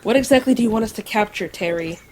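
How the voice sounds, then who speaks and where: A woman playing a steady, loyal young member of a group of friends in an audio drama. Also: medium pitch, quick pace, a little hiss or hum, lightly acted. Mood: firm.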